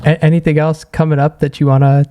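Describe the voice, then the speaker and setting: A man hosting a podcast, asking loose, halting questions in a casual interview. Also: on the high side, level tone, warm tone.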